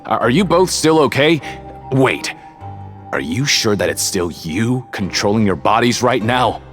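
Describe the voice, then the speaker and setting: A man's voice: lowish voice, sing-song, unhurried, fairly bright tone, faint music behind. A man playing a nosy, superstitious, informal convict in a video game, hesitant and incredulous. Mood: worried. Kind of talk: video game character acting.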